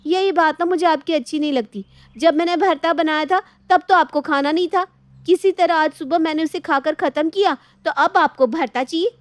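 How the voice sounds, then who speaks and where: Young put-upon daughter-in-law, voiced by a woman, very high plaintive voice, domestic moral story, close dry sound. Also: moderate pace, dry recording. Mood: annoyed. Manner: plaintive, exasperated. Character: young exasperated daughter-in-law, dutiful wife.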